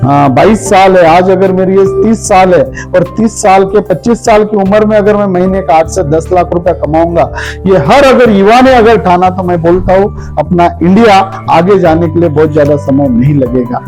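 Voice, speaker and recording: A man's voice: high voice, normal speed, music in the background, rough recording. A man from Maharashtra gives a motivational seminar speech, repeating phrases for emphasis and putting questions to his listeners. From Maharashtra, India. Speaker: motivational speaker for direct selling.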